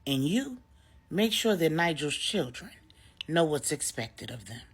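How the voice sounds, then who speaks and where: A woman's voice: low voice, big pitch swings, unhurried, slightly rough, heavily acted. A woman storyteller voicing a regal, commanding female crime boss who gives terse, authoritative orders. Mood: stern command.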